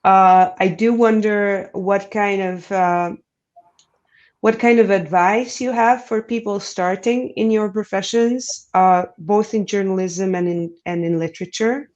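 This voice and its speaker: Woman moderator, relaxed conversational questions, slightly dull lo-fi audio. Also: medium pitch, unhurried. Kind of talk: panel discussion.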